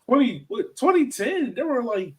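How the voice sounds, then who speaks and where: A man hosting a podcast in a casual, informal way, building his questions haltingly in fragments. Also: extremely high pitch, very animated, slight background noise. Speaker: podcast host. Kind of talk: casual conversational interviewing.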